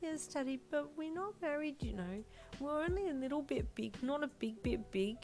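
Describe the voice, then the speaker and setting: Squeaky, earnest little girl. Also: lively intonation, normal speed, soft background music. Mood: relieved, indignant. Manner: childlike, stammering, earnest. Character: sweet, earnest little girl, easily flustered.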